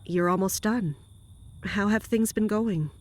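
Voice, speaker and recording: Woman acting as slow-spoken, weary woman commander. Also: medium pitch, a little mellow, natural voice. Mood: tired, guarded. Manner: restrained, measured, terse. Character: weary, guarded, duty-bound female castle commander. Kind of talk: audio drama acting.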